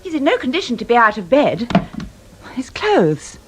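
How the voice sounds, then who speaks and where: Brisk, expressive British ward sister. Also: female voice, on the high side, slightly rough, soft background music, heavily acted. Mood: concerned. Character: brisk, practical female hospital ward sister. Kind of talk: British radio drama acting.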